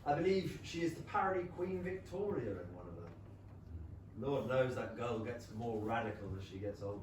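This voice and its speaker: Man as courteous English gentleman, very echoey. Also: medium pitch, animated, lightly acted. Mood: reflective. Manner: polite, measured, dry. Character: courteous, drily humorous English period gentleman. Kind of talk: theatrical period comedy stage reading. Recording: very echoey distant theatre recording, noisy.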